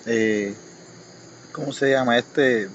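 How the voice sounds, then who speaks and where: A Cuban man speaks in an average-pitched voice, recalling personal memories at a reflective, halting gait. He sounds like a guest in a casual chat. The recording is muffled and lo-fi, with light noise and insects behind him. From Cuba. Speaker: guest reader. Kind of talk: personal recollection in casual conversation. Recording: dull lo-fi audio, faint noise, insects.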